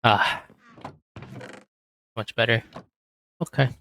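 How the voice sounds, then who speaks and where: A man with a hoarse voice, quietly talking himself through a game as he plays, in a relaxed, slow, muttering way. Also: medium pitch, slight background noise. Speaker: gaming video host. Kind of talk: casual gameplay commentary.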